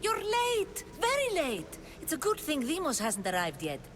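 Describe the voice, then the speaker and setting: An officious, eager cultist woman in a video game. She is theatrical and conspiratorial, her pitch swooping widely, with clear ambient noise around her. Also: wildly swooping pitch, moderate pace. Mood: scolding. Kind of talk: dramatic video game acting.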